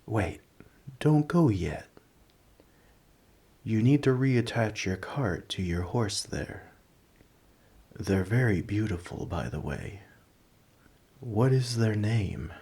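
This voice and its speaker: Man playing a slow, husky, gentle dragon. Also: lowish voice, animated, full character voice. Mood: friendly, earnest. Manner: polite, slightly huffy, earnest, conversational. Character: large, ancient, nerdy gentle male dragon, politely indignant coin collector. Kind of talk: ASMR roleplay audio drama.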